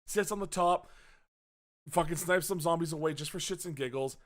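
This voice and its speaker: Guy w a kinda high voice going hoarse, cussing thru a movie recap, loud then mumbly. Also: normal speed. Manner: profane, rambling, swings from loud to muttering. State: losing his voice. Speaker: podcast host.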